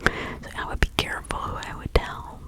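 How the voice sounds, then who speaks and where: A woman playing a reclusive, gossipy village witch of the 1600s in a confiding, rambling ASMR roleplay, all in a breathy whisper. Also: normal speed, natural voice. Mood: gossipy, cautioning. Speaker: ASMR performer.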